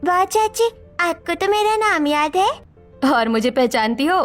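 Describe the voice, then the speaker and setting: Woman putting on a very high chirpy child. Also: big pitch swings, measured pace, faint music behind, full character voice. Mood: curious. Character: curious, cheeky young child in-law. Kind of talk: scripted family drama storytelling.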